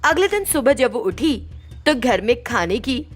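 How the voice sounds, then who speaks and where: High-voiced woman storyteller. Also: big pitch swings, measured pace. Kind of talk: scripted story narration.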